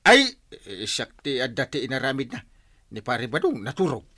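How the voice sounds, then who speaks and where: A man's voice: mid-range voice, very animated, normal speed, pushed and bright. A man with a Filipino accent playing a bumbling, boastful, cowardly night-watch captain in a radio drama, stammering and blustering.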